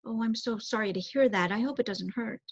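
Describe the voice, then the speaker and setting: A woman's voice: fairly high pitch, fairly quick. A woman voicing a timid, polite mother mouse with a hesitant, pleading manner in a storybook reading. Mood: anxious. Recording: roomy echo, dull muffled sound.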